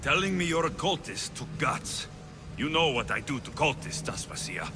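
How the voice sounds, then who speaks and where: A tough, guarded young warrior in a game drama. His voice is a little high for a man, with lively swings in pitch and blunt delivery over clear music. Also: normal speed. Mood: guarded, menacing. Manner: blunt, questioning. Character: wary, tough young male Greek warrior hero. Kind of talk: dramatic video game cutscene dialogue.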